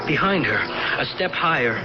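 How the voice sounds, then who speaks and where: A man's voice: on the high side, expressive, normal speed, lightly acted. A man playing an intense, desperate young hero who narrates a horror tale in dramatic old-time radio style. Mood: tense narration. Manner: dramatic, urgent. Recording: dull radio recording under loud engine noise.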